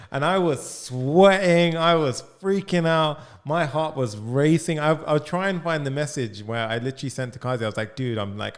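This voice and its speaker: Young male coding coach, energetic, studio mic, chatty. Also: mid-range voice. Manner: energetic, fast, full of "like" and "right?". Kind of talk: live coaching call. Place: home studio room. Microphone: studio mic on boom arm, headphones.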